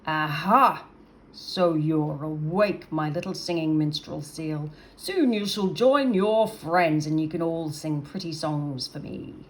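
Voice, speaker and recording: A woman voicing a big, gruff, greedy male storybook creature with a growl and a blustering, drawn-out delivery. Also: low voice. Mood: gloating, menacing.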